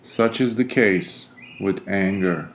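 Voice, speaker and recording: A man leading a guided meditation in a deliberate, soothing way, pausing often between phrases. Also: low-pitched, slight background noise. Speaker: meditation guide, Buddhist monk.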